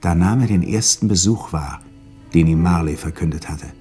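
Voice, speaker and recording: A grave male storyteller narrates a vintage radio play. His voice is very deep. Soft music plays faintly behind the scripted narration. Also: moderate pace, breathy edge. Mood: grave, measured. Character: grave male storyteller of a ghostly Christmas tale.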